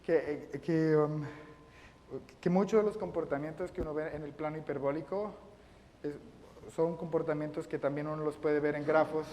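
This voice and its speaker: A man giving a math lecture, halting and often repeating his words. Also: fairly high pitch, fairly even intonation, mellow, dull audio, slight background noise. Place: lecture room. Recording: reverberant lecture hall.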